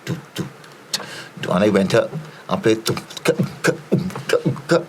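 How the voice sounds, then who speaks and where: A man's voice: lowish voice, lively intonation, normal speed, a little hiss or hum. A Barbadian man, a podcast guest, telling stories in a rambling casual way, full of fillers and repeated starts. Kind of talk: casual storytelling chat.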